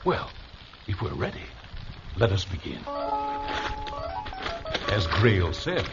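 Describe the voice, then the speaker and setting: An actor playing a talkative, opinionated old philosopher in a radio drama, musing theatrically with rhetorical questions. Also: male voice, deep voice, wildly swooping pitch, slow, exaggerated voice. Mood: wry, assertive. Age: older. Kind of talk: vintage-style radio drama acting. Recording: dull lo-fi radio drama recording. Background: music.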